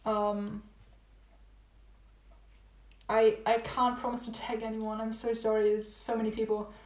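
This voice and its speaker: A woman's voice: fairly high pitch, monotone, unhurried, a little mellow. Giggly young woman rambling in an echoey room. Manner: rambling, repeats herself, giggly. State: losing her voice. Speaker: livestreamer. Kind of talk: casual livestream chat with viewers. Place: home room. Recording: echoey room, phone livestream.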